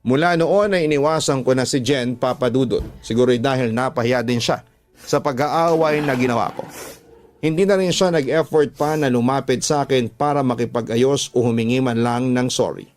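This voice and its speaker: A man's voice: medium pitch, moderate pace. A man with a Filipino accent, a radio drama host narrating listener letters and reflecting on them in a storytelling style. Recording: music playing behind the voice. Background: background music.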